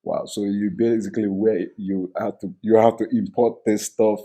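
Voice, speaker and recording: A man's voice: on the low side, fairly even intonation, normal speed, dark tone. A man hosting a podcast in his studio, talking earnestly and rambling with many fillers. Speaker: podcast host. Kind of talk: podcast interview.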